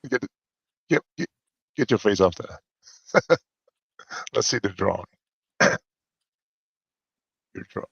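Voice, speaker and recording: A man's voice: fairly low pitch, expressive, takes it slowly, vocal fry, long pauses, fairly bright tone, husky, dry recording, highs cut off. A man bantering on a livestream, laughing often and playful. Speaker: guest artist on a drawing stream.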